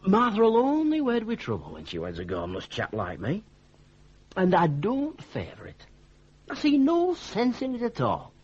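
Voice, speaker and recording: An actor in a British radio drama playing a gormless, reluctant, soft-hearted young son with a Northern English accent and a hesitant, stammering way of protesting. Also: male voice, high-pitched, wildly swooping pitch, normal speed, husky, exaggerated voice. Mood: plaintive. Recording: dull, muffled old radio recording.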